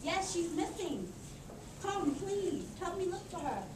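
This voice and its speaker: A woman's voice: very high voice, big pitch swings, a little slow, full character voice. A woman voicing an anxious, loving old grandmother in a children's puppet show. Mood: worried. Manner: fretful, affectionate. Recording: distant echoey room with audience noise.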